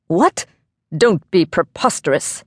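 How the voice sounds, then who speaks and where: A woman narrator plays a pompous male dowser in a storybook. He talks in fussy, formal phrases and gets flustered and excitable. The delivery is unhurried and the sound is close and dry. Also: lowish voice, slightly rough, dry recording, full character voice. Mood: indignant. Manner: wordy, formal diction.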